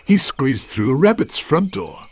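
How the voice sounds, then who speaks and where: A man narrating a children's story in an expressive read-aloud style. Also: fairly high pitch, a little slow.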